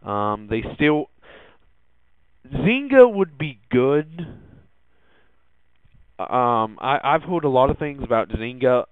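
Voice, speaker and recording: Halting man on a tinny, phone-like line. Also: medium pitch, sing-song, no room sound. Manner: halting, hesitant delivery with repeated words. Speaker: podcast host. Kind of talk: casual tech and gaming news commentary. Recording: tinny, phone-like narrowband sound.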